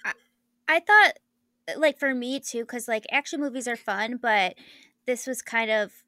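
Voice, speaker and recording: A woman co-hosting a podcast in casual chat, giggly and quick with agreeing asides. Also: fairly high pitch, bright, forceful tone, no room sound, clean studio sound. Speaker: podcast co-host.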